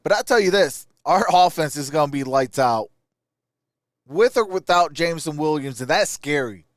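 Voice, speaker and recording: A man's voice: fairly high pitch, expressive, moderate pace, dry recording, studio quality. A man hosting a live sports stream, giving casual, opinionated commentary and answering viewer comments.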